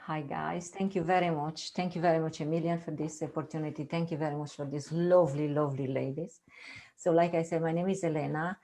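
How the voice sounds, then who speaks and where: A woman in her fifties from Romania, speaking English with a Romanian accent, giving an earnest personal testimony on a video call with halting, repetitive phrasing. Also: sits low, steady tone, dark tone. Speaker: guest.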